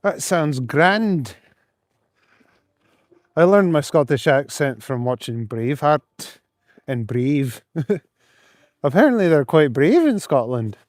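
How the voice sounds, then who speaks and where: A man's voice: on the high side, big pitch swings, slow pace, pauses often, darkish tone, no room sound, clean studio sound. A man live streaming while out on cold city streets, reading chat aloud in an easygoing, chuckling, rambling way. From Canada.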